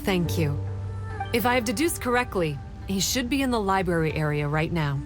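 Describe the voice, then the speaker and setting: A woman voicing a composed, analytical female guide in a video game, speaking formally and politely in measured phrases over music. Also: mid-range voice, expressive, lightly acted. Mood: grave.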